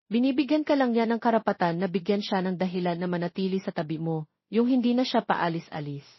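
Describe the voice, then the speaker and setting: Motherly advisor, female narrator in role, persuasive and caring, rough-edged voice, muffled sound. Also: medium pitch, lively intonation, fast, dry recording. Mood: concerned, persuasive. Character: caring mother urging her daughter to marry. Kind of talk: dramatized storytelling dialogue.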